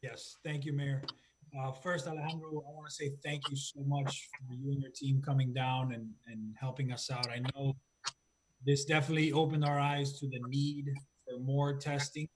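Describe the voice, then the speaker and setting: A man's voice: medium pitch, flat delivery, normal speed, background noise. A man serving as a councilman, politely thanking the guest and asking a question at a council meeting. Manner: polite, grateful.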